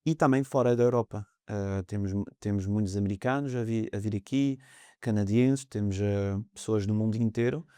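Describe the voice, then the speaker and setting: A man's voice: fairly low pitch, moderate pace, dark tone, clear, resonant tone, no room sound, clean studio sound. A man in his thirties from France with a French accent, a guest on a podcast interview, talking in a hesitant way with many fillers. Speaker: mortgage broker guest.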